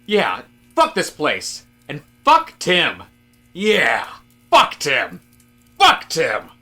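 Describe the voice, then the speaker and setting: Fed-up office guy played by a male reader: halting, slangy, rebellious, bright voice, comic audio drama. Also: a little high, wildly swooping pitch, exaggerated voice. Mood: gleefully defiant.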